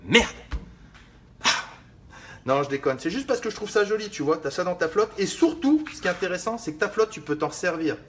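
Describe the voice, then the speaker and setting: Man talking to viewers, slightly high voice, joking and rambling, faint clicks behind. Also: lively intonation, a little fast, a bit bright, slight background noise. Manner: joking, rambling, swears casually, talks to viewers. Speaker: fitness livestream host. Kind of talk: casual live cooking demo.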